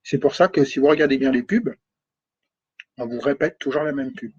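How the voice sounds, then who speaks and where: Husky man, runny nose, repeating himself. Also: medium pitch, normal speed, darkish tone. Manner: repeats words, thinks aloud, stresses points with "d'accord?". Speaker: kinesiologist and energy coach. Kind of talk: spoken talk on spirituality. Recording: dull lo-fi recording.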